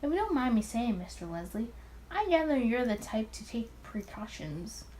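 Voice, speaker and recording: A woman's voice: a little high, very animated, normal speed, darkish tone, slight background noise. A woman voicing an arrogant, charming male mafia boss from the 1920s in a visual novel. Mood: slyly arrogant.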